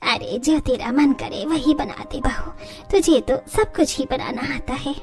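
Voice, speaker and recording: Woman as a proud mother-in-law, lower register. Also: unhurried, a little mellow, faint music behind. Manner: boastful, obliging. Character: proud, agreeable middle-aged mother-in-law.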